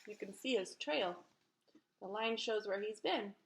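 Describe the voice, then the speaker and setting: A woman's voice: medium pitch, unhurried, creaky. A woman chatting to young children as a co-reader, prompting them in a teacherly way. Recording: faint background noise.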